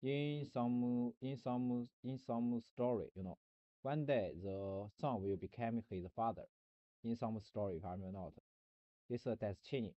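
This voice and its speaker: A man streaming a rambling philosophical monologue, halting and searching for words, often restarting and repeating himself. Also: medium pitch, no echo, dull audio.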